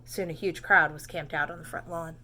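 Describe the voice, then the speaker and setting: Female storybook narrator, teacher type: bright tone, animated emphasis, pitched for young children. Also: brisk. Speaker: teacher reading aloud.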